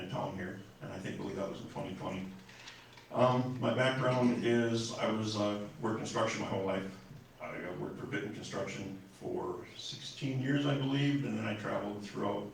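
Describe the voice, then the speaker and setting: An older man from Wisconsin introducing himself as a city council candidate at a forum, folksy and rambling with many fillers and restarts. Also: fairly low pitch. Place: public forum room. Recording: echoey hall, distant mic, faint noise.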